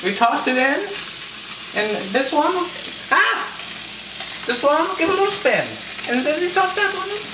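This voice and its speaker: A man's voice: very high voice, expressive, unhurried, long pauses, background noise. A teenage boy playing Franz, an anxious, self-pitying home cook who whines melodramatically to his cameraman. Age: teens. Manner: melodramatic, whiny, fretful asides to the cameraman. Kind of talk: comic cooking show skit. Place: home kitchen, indoors. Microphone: camera mic from a handheld camera. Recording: roomy kitchen with frying sizzle. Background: food sizzling in a pan.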